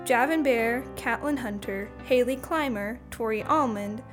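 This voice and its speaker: A woman delivers a scripted announcement. Her pitch is on the high side, with clear instrumental music behind her. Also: unhurried. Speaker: credits announcer.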